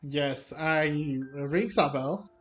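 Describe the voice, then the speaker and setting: Male gaming streamer, unhurried casual reactions, slightly high voice, faint music underneath. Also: a bit bright. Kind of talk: casual reaction commentary over gameplay. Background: faint game music.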